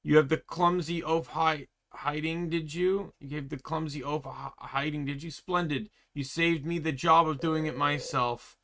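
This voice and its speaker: A man's voice: fairly high pitch, steady tone, normal speed, few pauses, pushed and bright. Man putting on a pompous, blustering old king. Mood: gruffly pleased, scornful. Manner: pompous, old-fashioned British exclamations. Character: blustering old ghost king, pompous father of a foolish son.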